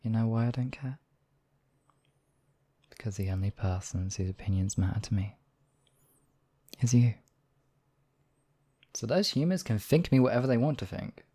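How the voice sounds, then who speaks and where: A man's voice: fairly low pitch, normal speed, pauses often, warm tone, close to an everyday voice. A man voicing a devoted demon king in an intimate ASMR roleplay, speaking in a courtly, tender and teasing way. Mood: defiant, devoted. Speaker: voice actor in audio roleplay. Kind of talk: ASMR romantic roleplay acting.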